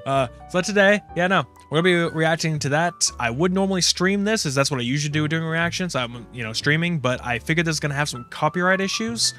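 Guy streamer just chatting to his viewers, voice a bit high, faint music behind him. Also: quick pace, few pauses, bright, forceful tone. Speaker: streamer, reaction video host. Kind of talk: casual chat to camera.

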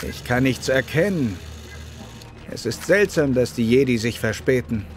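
A man's voice: medium pitch, animated, measured pace, soft background music, lightly acted. A man playing a dignified, skeptical alien king with a regal, wary manner.

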